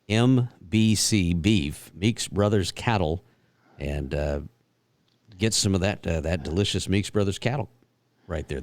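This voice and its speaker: A man's voice: lowish voice, a little mellow, no room sound. A man hosting a country radio show, teasing and joking in casual chat.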